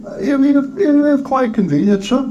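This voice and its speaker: A man's voice: very high-pitched, lively intonation, moderate pace, warm tone, dull audio, a little hiss or hum, pitched above the performer's own voice. A man voicing a meek, timid male clerk in a storybook, nervous and stammering.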